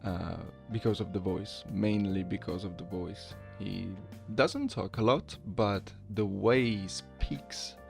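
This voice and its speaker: A man's voice: low voice, takes it slowly, vocal fry, few pauses, a little mellow, soft background music. A man giving casual, self-deprecating commentary to his viewers in a hesitant, giggly way. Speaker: voice challenge video creator.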